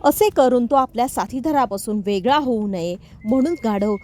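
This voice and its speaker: A woman's voice: fairly high pitch, expressive, normal speed, a little mellow, faint music behind. A woman storyteller narrating a scripted moral story for children.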